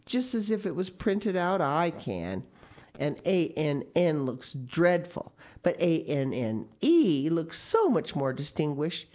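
A woman's voice: low voice, a little mellow, heavily acted. A woman voicing a dramatic, imaginative orphan girl of about eleven who speaks in gushing, theatrical outbursts. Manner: gushing, theatrical, tearful pleading.

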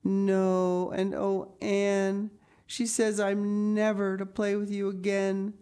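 A woman's voice: very high-pitched, animated, slow, vocal fry, warm tone, pitched above the performer's own voice, exaggerated voice. A woman voicing a sad, devoted young girl in a storybook, a loyal best friend who speaks plainly and earnestly. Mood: mournful. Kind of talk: audiobook character reading.

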